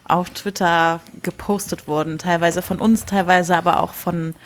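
A woman's voice: lowish voice, fairly even intonation, normal speed. A woman chatting casually and unscripted as a member of a podcast panel. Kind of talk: casual chat.

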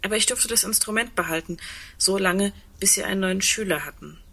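An actress playing a wry, resigned, reflective woman in a radio drama, with a husky voice and dry humor. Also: mid-range voice, brisk, pushed and bright, heavily acted. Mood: wry, reminiscing. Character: tall, wry, resigned woman insolvency administrator facing doomsday. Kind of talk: radio drama dialogue acting.

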